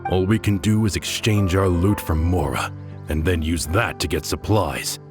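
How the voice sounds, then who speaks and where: A man's voice: low-pitched, moderate pace, music in the background, lightly acted. A man voicing a bitter vengeful gang member. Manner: bitter, blunt. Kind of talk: video game voice acting.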